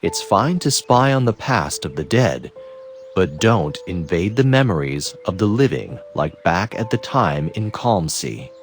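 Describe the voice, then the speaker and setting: A man playing a calm, wise master and protector in a fantasy game, speaking in a measured, formal, instructive way. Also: low voice, faint music behind. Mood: solemn, instructive. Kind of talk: dubbed fantasy game cutscene acting.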